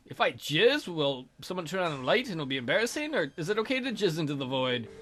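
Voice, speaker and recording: Animated, bright-voiced man doing a let's play. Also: on the high side, fast talker. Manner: goofy, crude humor, theatrical reading. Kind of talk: reading a visual novel aloud with joking commentary.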